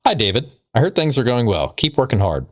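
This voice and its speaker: A man's voice: mid-range voice, very animated, a little fast. A man co-hosting a casual podcast, bantering and reading letters aloud in a conversational way. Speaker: podcast co-host.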